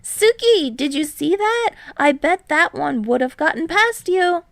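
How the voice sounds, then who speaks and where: A woman voicing a loud, excitable, boastful teenage boy athlete in an audio story. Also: extremely high pitch, a little slow, vocal fry, no echo, studio quality, pitched above the performer's own voice, full character voice. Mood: boisterous challenge. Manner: exuberant, shouting, eager.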